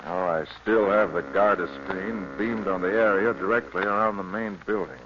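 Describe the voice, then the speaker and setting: A man's voice: low voice, steady tone, moderate pace, lightly acted. Guy acting obsessive worried doctor, old time radio drama, urgent and dramatic, dull hissy 1950 recording. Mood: focused. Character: troubled, obsessive male psychiatrist running a sanitarium. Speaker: actor.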